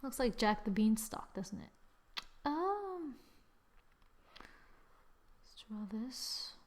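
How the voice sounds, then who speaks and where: A woman hosting a casual drawing software tutorial, thinking aloud informally and often murmuring as she works. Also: fairly high pitch, sing-song, extremely slow, a little hiss or hum. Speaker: tutorial host. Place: screen-recording voice-over.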